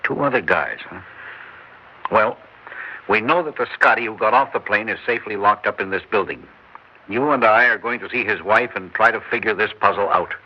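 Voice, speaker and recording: An actor playing a stern chief who gives clipped orders, in a 1960s radio drama. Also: male voice, fairly low pitch, a little fast, lightly acted. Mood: brisk. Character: stern intelligence chief, brisk and commanding. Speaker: chief of an agency. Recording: muffled old radio broadcast.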